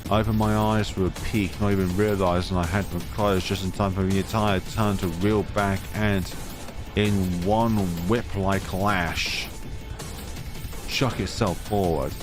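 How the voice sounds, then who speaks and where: A man reading a visual novel aloud in a theatrical, expressive way, mixing dramatic narration with casual commentary. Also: lowish voice. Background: game music behind the voice.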